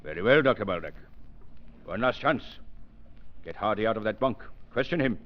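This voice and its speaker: An actor playing an imperious, short-tempered villainous spy in a theatrical 1930s radio drama style. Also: male voice, on the low side, lively intonation, normal speed, close to an everyday voice. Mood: cold, commanding. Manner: theatrical, commanding, sneering. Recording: dull, lo-fi 1930s radio recording.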